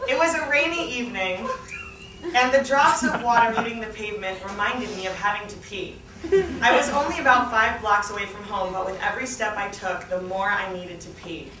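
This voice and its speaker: A young adult woman giving an animated, comedic live reading of a memoir to an event, performing the dialogue as she goes. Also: mid-range voice, a little fast, crowd noise. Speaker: guest reader at a book launch. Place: small theatre stage at a lectern, indoors. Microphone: distant camera. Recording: roomy, noisy, distant event recording.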